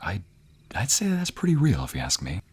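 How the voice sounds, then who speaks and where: A man's voice: on the low side, wildly swooping pitch, a little fast, breathy edge, voice pushed up, heavily acted. A man playing a playful, doting scoundrel of a lover in a romantic audio roleplay, teasing affectionately. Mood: curious, tender. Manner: teasing, affectionate, chuckling banter.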